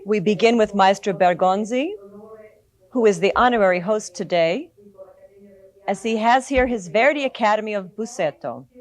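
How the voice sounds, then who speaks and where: A woman speaking as a moderator, formally introducing guests and putting a question. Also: mid-range voice.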